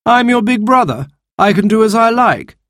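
A man's voice: high-pitched, animated, moderate pace, fairly bright tone, no room sound, studio quality, voice pushed up. A man voicing a cocky, self-assured young man in a children's storybook reading. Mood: bossy. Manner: lofty, bossy storybook voice.

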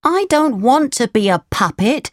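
Woman voicing a high, childlike, naughty boy puppet. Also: animated, a little slow, no room sound, studio quality. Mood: indignant, wilful. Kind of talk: children's storybook character reading.

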